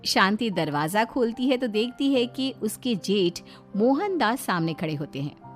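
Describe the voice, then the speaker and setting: A woman's voice: mid-range voice, big pitch swings, a little slow, soft background music. A woman narrating a scripted cartoon story as its storyteller.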